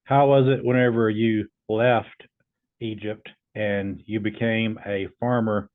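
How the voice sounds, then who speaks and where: A man's voice: on the low side, little pitch movement, takes it slowly, narrow, tinny audio. A man hosting a casual demo, talking informally and hesitantly as he tests a voice assistant. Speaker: video host testing a voice assistant.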